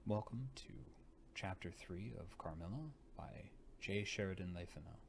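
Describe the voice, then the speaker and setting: A man reading a story aloud in a theatrical narration style, sometimes dropping to a hush. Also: sits low, normal speed, vocal fry, slight background noise. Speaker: audiobook reader.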